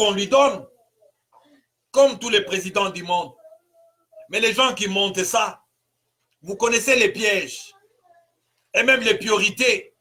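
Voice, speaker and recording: Congolese pastor, male, high and bright-toned, fiery rhetorical delivery with shouted peaks. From Congo (DRC). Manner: emphatic, rhetorical questions, bursts of shouting. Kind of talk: impassioned political sermon.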